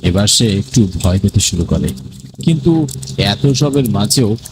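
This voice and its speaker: A man's voice: lowish voice, lively intonation, unhurried. A man narrating a scripted ghost story in a dramatic storytelling style. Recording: clearly noisy, ambient sound behind voice.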